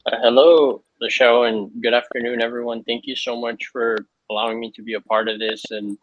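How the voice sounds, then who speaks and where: A man's voice: medium pitch, flat delivery, fairly quick, no echo. Earnest man on a dull video call. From Hawaii. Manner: earnest, thoughtful, with frequent fillers. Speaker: panel guest. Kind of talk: reflective panel talk. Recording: dull lo-fi, dry sound.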